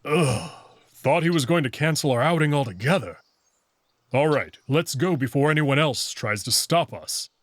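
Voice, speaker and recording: A man's voice: a little high, animated, normal speed, dry recording, lightly acted. A man voicing an eager, easygoing, slightly impatient male wolf in a visual novel, informal and breezy. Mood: relieved, impatient.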